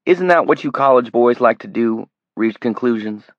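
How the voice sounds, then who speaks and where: A man's voice: lowish voice, animated, normal speed, no room sound, dull audio, pitched above the performer's own voice. A man playing a cruel, menacing middle-aged man who taunts and sneers. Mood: taunting menace.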